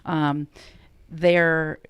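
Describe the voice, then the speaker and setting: A woman's voice: sits low, flat delivery, very slow, clean tone, no room sound, lo-fi sound. A woman, a program director, talking earnestly as a guest on a radio interview and telling stories with quoted dialogue.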